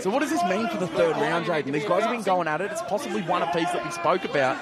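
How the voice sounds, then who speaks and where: A man giving excitable live play-by-play commentary on a cage fight, often shouting. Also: high voice, quick pace. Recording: loud crowd and music behind.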